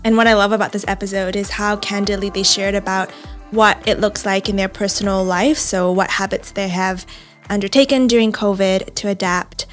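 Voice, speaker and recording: A woman's voice: medium pitch, steady tone, moderate pace. Friendly woman cohost, keen and easygoing, light music underneath. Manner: friendly, enthusiastic, occasional "uh" and "um". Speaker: podcast co-host. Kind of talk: casual podcast chat.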